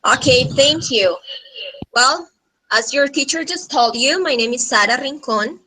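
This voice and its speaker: A Colombian-accented woman in her thirties with a high, bright voice tells a class about herself as a guest teacher. She takes her time, speaking informally as a native Spanish speaker. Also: lively intonation, unhurried, low-quality recording. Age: 30s. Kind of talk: informal self-introduction to a class. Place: video call.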